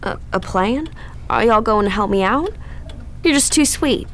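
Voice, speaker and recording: A woman's voice: on the high side, lively intonation, a little slow, a bit bright. Woman acting fluttery Southern belle, faint noise. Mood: sweet, hopeful. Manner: fluttery, folksy, hesitant stammer. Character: frightened, sugary Southern married woman in debt to gambling. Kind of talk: old-time radio drama acting.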